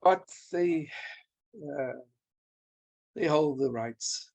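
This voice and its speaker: Older male lecturer, Hebrew speaker, fairly high gravelly voice, halting delivery, home study webcam. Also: a little mellow. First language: Hebrew and German. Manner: halting, repeats and restarts words. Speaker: guest lecturer. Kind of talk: Q&A after a lecture. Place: home study lined with bookshelves. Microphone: video call, laptop webcam.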